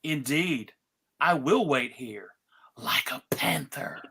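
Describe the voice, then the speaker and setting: A man's voice: a little high, lively intonation, takes it slowly, vocal fry, bright, forceful tone, slightly rough, pitched below the performer's own voice, full character voice. A man playing a stiff, self-serious TV crime-fighting hero in a formal, overdramatic way. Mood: solemn.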